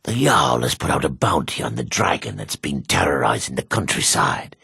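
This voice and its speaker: An actor playing a grim, weathered male dark elf warrior in a fantasy game, with a husky, measured, menacing delivery. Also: very deep voice, sing-song, no room sound, clean studio sound, heavily acted. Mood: businesslike. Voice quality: gravelly, husky. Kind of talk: fantasy game character lines.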